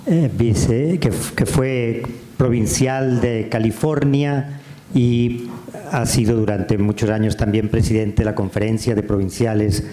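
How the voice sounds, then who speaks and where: A man's voice: medium pitch, fairly even intonation, measured pace, warm tone, echoey room. A man moderating a conference, introducing the next speaker formally with a few stumbles. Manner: stumbling, restarts words.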